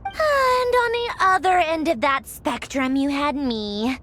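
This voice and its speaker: A woman's voice: high-pitched, lively intonation, takes it slowly, a bit bright, full character voice. A woman voicing a proud, irritable, overworked young woman official in a game, emphatic and sardonic. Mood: wry, exasperated. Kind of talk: dramatic game voice acting. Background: background music.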